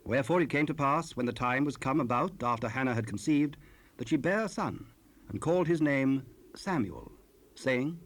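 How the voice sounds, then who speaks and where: A man narrating scripture in a solemn, measured, formal style for an audio drama. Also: mid-range voice, animated.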